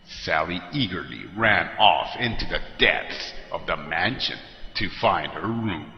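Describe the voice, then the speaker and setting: A man's voice: low-pitched, animated, measured pace, highs cut off. A man narrating a scary story in a dramatic, scripted style. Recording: echoey long reverb. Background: music.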